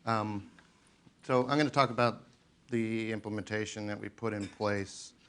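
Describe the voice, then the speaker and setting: Man with a low voice presenting. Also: fairly even intonation, normal speed, fairly bright tone, clear, resonant tone. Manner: loose, with many "um"s and restarts. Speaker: conference presenter. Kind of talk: technical conference talk. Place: conference room.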